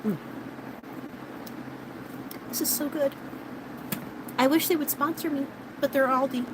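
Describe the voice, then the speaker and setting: A woman's voice: high-pitched, steady tone, a little slow, background noise. Chatty woman in her late thirties. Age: 30s. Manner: rambling, chatty, many fillers and restarts. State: starting to lose her voice. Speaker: vlogger. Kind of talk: casual chat with live viewers.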